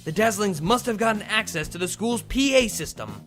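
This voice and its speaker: A man's voice: high-pitched, lively intonation, a little fast, fairly bright tone, faint music behind. A man reading comedic fan fiction aloud as a host, with a theatrical, mock-dramatic delivery. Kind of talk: animated comedic story narration.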